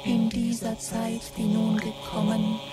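Detached narrator reciting verse, flat and slow, radio play. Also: female voice, a little high, over loud music, poor audio. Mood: detached, measured. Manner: measured, even recitation. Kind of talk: rhymed radio drama narration.

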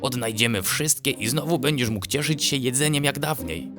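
A man's voice: medium pitch, normal speed, over music, voice pushed up, natural voice. A man voicing a kind, cheerful, resolute male fox who reassures his cousin in a children's bedtime story. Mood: comforting.

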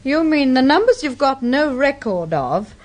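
A woman's voice: sits high, sing-song, a little slow, a little mellow. A woman with an English Received Pronunciation accent plays a detective's inquisitive, well-bred wife in a radio drama. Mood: curious.

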